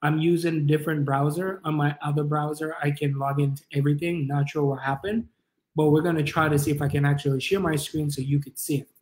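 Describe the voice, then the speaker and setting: A man's voice: on the high side, level tone, fast, a little mellow, low-quality recording. A man in his thirties with a Jamaican accent, giving a casual live tutorial in an informal, encouraging, rambling way. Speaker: online business coach and livestream host. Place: kitchen or living room at home, indoors.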